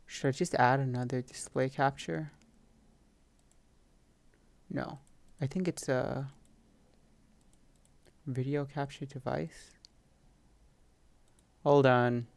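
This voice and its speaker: A man giving casual let's play commentary as a gaming video host, rambling and thinking out loud. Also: mid-range voice, fairly even intonation, slow, gravelly, long pauses, no echo.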